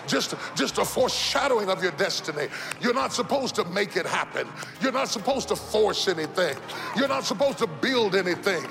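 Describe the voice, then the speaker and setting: A man in his fifties preaching an impassioned sermon in a church, repeating phrases and building to shouted peaks. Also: sits high, lively intonation, normal speed, gravelly, over music. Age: 50s. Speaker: pastor. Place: church sanctuary. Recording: long reverb of a large hall.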